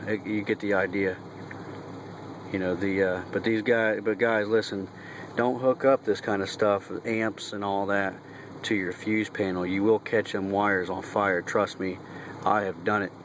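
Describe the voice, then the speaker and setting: A man giving a casual, folksy how-to walkthrough in and around a vehicle, talking in colloquial speech to a handheld camera. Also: on the low side. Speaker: truck driver and hobbyist demonstrator. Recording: noisy vehicle and engine hum.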